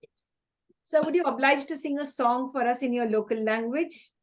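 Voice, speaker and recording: A woman's voice: high-pitched, normal speed. A woman interviewer asking courteous, formal questions.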